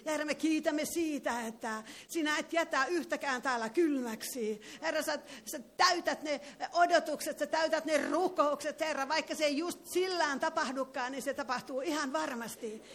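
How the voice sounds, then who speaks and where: A woman leads a fervent charismatic prayer in a meeting hall. Her voice sits somewhat high, and she breaks into tongues between phrases. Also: few pauses, fairly bright tone. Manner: fervent, prays with tongues interjected. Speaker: preacher.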